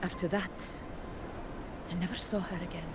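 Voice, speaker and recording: A woman voicing a proud, resolute warrior ruler in a video game, speaking in a formal, measured, commanding way. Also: on the low side, steady tone, noisy background, natural voice. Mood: grave, reflective. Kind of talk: dramatic game dialogue. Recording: music behind the voice. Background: background music.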